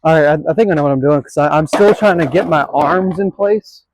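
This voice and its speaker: A man's voice: on the high side, a little fast, a little hiss or hum. A man in his late twenties filming a casual skateboarding vlog, rambling and self-deprecating, swearing now and then. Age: 20s. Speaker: skateboarding vlogger.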